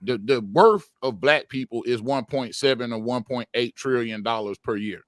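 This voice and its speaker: A man's voice: mid-range voice, moderate pace, dry recording. A man with a mid-range voice explains his point step by step, often stumbling and restarting his words. He speaks as a panelist in a casual live chat, and the sound is dry and close. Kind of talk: casual live-stream discussion.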